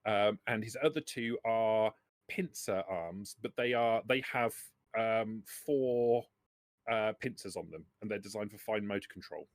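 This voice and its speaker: A man running a tabletop roleplaying game as game master, narrating off the cuff in a halting, deliberate way. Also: on the low side, no room sound.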